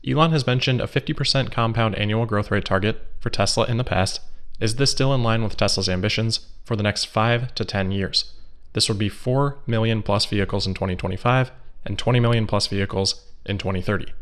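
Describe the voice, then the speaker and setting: Man, lower-pitched voice, podcast host, scripted commentary, measured, analytical, explanatory delivery. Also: fairly bright tone. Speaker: host of an investor news podcast. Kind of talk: scripted solo news commentary.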